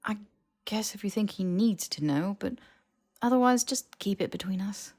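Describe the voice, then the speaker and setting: Casting: female reader as a cagey young male wizard, stumbling over phrases, a gravelly edge, fantasy audiobook dialogue. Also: medium pitch, expressive, normal speed, a little mellow. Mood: cagey, casual.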